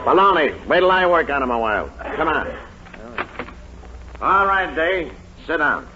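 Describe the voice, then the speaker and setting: An actor playing a gruff, impatient, bullying police captain in a 1940s radio comedy. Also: male voice, on the high side, big pitch swings, normal speed. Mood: gruff, exasperated. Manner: barking, impatient. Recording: dull 1940s radio, loud noise and audience.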